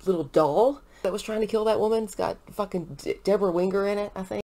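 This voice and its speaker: A woman's voice: mid-range voice, quick pace, warm tone. A young American woman chatting casually as co-host of a reaction show. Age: young adult. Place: living room, on a couch.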